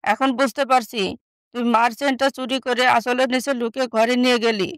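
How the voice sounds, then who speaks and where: Woman playing a spiteful, high-voiced young sister. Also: steady tone, brisk, clear, resonant tone, dry recording, clean studio sound, lightly acted. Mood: accusing. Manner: scolding, scornful. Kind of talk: animated moral story dialogue.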